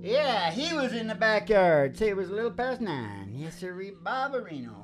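A man's voice: high-pitched, sing-song, moderate pace. An older man, a musician hosting a show, rambling casually between songs in an irreverent, folksy manner. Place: small indoor room with wood-panelled wall. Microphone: microphone on a stand. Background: acoustic guitar.

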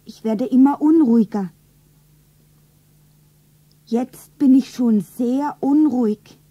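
Slow, breathy, anxious young woman. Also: high-pitched, dry recording, dull audio, full character voice. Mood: uneasy. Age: young adult. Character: anxious, self-admiring young woman narrating her melodrama. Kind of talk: stylized radio drama narration.

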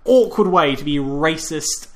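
A man's voice: on the high side, expressive, unhurried. A man co-hosting a casual movie review podcast, rambling through scenes with lots of ums and restarts and laughing mid-sentence. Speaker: podcast co-host.

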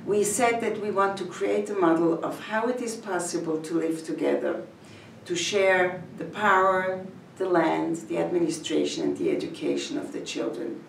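Older woman, gravelly and roomy. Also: lowish voice, lively intonation, slight background noise. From Switzerland. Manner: measured, phrase by phrase, with pauses. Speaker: community educator. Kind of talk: talking to camera about her village. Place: indoor room in front of a painted mural. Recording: echoey room, mic at a distance.

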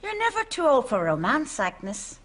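A woman's voice: sits high, huge pitch swings, normal speed, fairly bright tone, heavily acted. A woman playing a chatty, earthy older Irish neighbour in a sitcom, naively frank and matter-of-fact. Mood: cheerfully frank. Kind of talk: studio sitcom acting.

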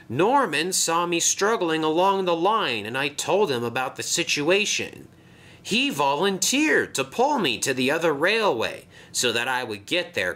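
A man's voice: on the high side, sharp tone, voice pushed up, heavily acted. A man voicing a polite, anxious little male steam engine who speaks hesitantly and deferentially.

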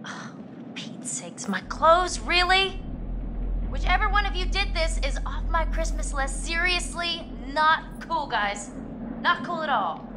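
An actress voicing a young woman alone in a dark lodge, sarcastic and exasperated. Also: very high voice, expressive, measured pace, in a large hall, noisy background. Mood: annoyed.

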